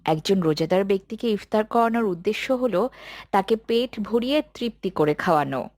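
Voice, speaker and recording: A woman playing a kind, devout mother in a cartoon, speaking in a calm, approving and instructive manner. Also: medium pitch, normal speed, dry recording, natural voice. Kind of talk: cartoon dialogue.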